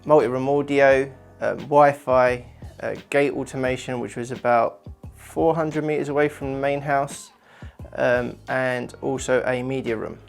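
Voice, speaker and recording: A man's voice: medium pitch, fairly even intonation, a little slow, creaky, soft background music. Man presenting a project walkthrough. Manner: informal, explanatory. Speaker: audio visual installer presenting company channel.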